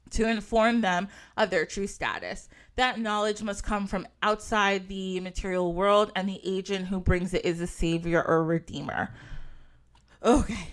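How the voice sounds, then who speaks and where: A woman's voice: medium pitch, a bit bright. A woman hosting a podcast, talking in a chatty, casual way with lots of fillers and frequent giggles, sometimes reading from an article. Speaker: podcast host.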